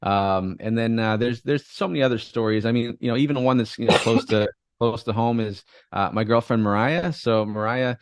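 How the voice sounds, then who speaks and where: A man talking casually in an interview, relaxed and rambling, with many fillers and restarts. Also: medium pitch, normal speed, smooth, no echo. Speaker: guest, affiliate marketer.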